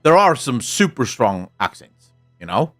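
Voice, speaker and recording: A man from South Africa with a soft South African English accent, Afrikaans his first language, chatting casually on a stream and answering chat. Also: mid-range voice, sing-song, measured pace, faint music behind. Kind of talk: casual live-stream chat, reading comments.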